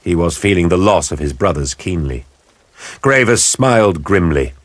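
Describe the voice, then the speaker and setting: A man narrating an audio drama in a measured, grave storytelling style. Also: sits low, lively intonation, no echo. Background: music.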